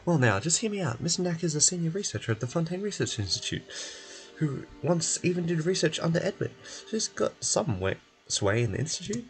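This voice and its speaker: A man's voice: fairly high pitch, very animated, brisk, voice pushed up. A man voicing a fussy, wordy, coaxing male bureaucrat in a video game. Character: fussy male bureaucratic clerk, pleased and pushy, nursing hurt leg. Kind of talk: video game character voice-over. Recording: music behind.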